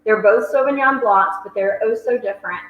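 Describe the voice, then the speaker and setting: A woman's voice: medium pitch, a little fast. A woman hosting a virtual wine tasting, chatty and full of ums. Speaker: wine shop host. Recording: roomy, dull sound with faint hiss.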